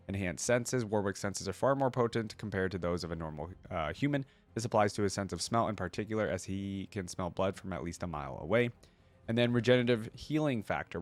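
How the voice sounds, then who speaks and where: A man hosting a reaction video, thinking aloud in casual, conversational commentary and sometimes reading from web pages. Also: lowish voice. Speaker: reaction video host and streamer.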